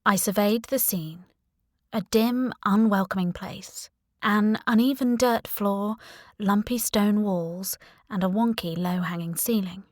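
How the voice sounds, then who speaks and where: A woman reading scripted first-person fiction as an audiobook narrator, in a wry, expressive way. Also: mid-range voice, unhurried, dry recording, clean studio sound.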